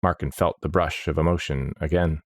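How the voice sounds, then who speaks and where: A man narrating a dark fantasy story in a measured, grave manner. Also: extremely low pitch, fairly even intonation, no room sound, clean studio sound. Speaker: audiobook narrator.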